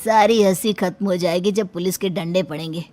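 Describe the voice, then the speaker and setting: A woman's voice: fairly low pitch, moderate pace, dark tone, soft background music, natural voice. A woman voicing a stern male doctor in a cartoon story, grave and warning.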